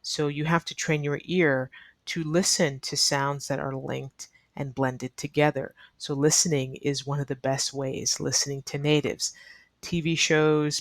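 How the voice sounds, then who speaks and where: A woman's voice: low-pitched, a bit bright, no echo. A woman teaching an online English lesson in a neutral American accent, explaining earnestly to learners and repeating key advice for emphasis. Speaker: ESL teacher.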